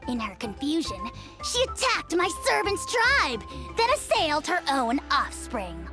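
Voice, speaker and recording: A woman voicing a tiny, arrogant dragon lord in a fantasy game, speaking in a pompous, grandiose, theatrical manner and quick to anger. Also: high voice, wildly swooping pitch, music in the background, heavily acted. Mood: condescending.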